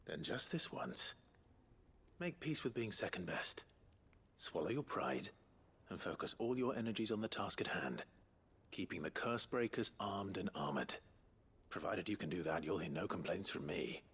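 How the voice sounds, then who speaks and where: Rebel leader, very husky, low, calm, measured, stern authority, video game dialogue. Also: male voice, exaggerated voice.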